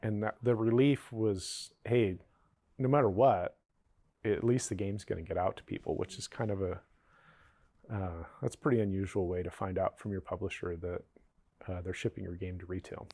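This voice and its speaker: A man's voice: low voice, fairly even intonation, normal speed, dark tone, dry recording. A man reflecting in a documentary interview with dry understatement.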